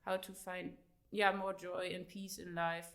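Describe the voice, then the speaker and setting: A woman's voice: fairly low pitch, level tone, measured pace, fairly bright tone. A woman in her late thirties with a German accent, chatting as a guest in an interview. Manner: chatty, many fillers, laughs easily. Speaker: guest author. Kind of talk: casual interview chat.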